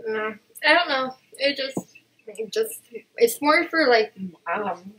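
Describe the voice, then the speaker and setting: A young woman answering slowly and hesitantly in a living room. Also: sits high, lively intonation, pushed and bright, a little hiss or hum, poor audio. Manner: hesitant, slow and unsure.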